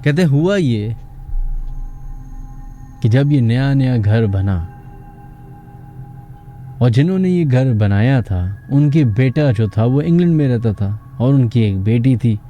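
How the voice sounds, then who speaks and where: A man's voice: mid-range voice, lively intonation, slow, pauses often, dark tone. A man with a Pakistani accent hosting a radio horror show, telling eerie stories in a theatrical yet conversational way over music. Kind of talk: dramatic horror storytelling for radio.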